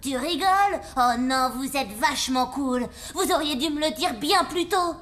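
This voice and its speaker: A woman voicing a bold, curious human schoolgirl who gets excited easily, in a cartoon. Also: sits high, moderate pace. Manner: eager, excitable. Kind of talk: cartoon voice acting. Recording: cartoon soundtrack with faint music.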